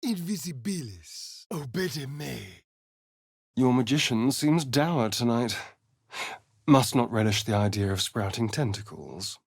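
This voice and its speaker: An actor playing a suave, sardonic vampire rogue in a video game, vain and theatrical, with an arch, drawling delivery. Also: male voice, mid-range voice, lively intonation, slow, creaky, husky, dry recording, clean studio sound, full character voice. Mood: intense incantation, then sly, droll. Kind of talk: dramatic video game character lines and narration.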